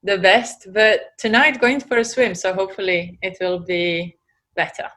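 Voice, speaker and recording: A woman's voice: mid-range voice, fairly even intonation, normal speed, a bit bright. A woman in her forties from Serbia, speaking with a Serbian accent, talks about herself as an interview guest, hesitating often with fillers. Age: 40s. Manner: hesitant, many fillers, laughs easily. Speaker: guest entrepreneur. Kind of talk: casual interview chat.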